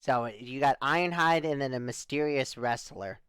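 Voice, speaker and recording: A man playing a skeptical, dry-humored spaceship crewmate in improvised, conversational sci-fi roleplay, full of deadpan questions. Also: mid-range voice, normal speed, clear, resonant tone, dry recording, lightly acted. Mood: skeptical, questioning.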